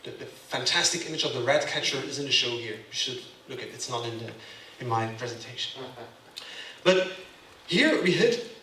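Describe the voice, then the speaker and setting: A man's voice: medium pitch, expressive, moderate pace, pushed and bright, distant mic, slight background noise. A man with a Belgian accent gives a gallery lecture, speaking emphatically and checking in with the audience. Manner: emphatic, keeps asking "yeah?" to the audience. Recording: echoey room, voice off-mic.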